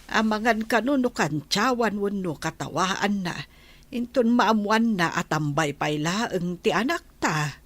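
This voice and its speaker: A woman's voice: medium pitch, lively intonation, measured pace, a bit bright, no room sound. A woman playing a patient, worried middle-aged village mother in a radio drama. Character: patient, worried village mother defending her grown child. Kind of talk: Ilocano radio drama acting.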